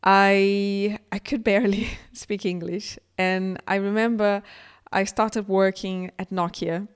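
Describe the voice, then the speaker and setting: A woman's voice: mid-range voice, steady tone, slow, smooth. A middle-aged woman from Brazil with a Brazilian accent, delivering an earnest, encouraging conference keynote and speaking directly to her audience. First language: Portuguese.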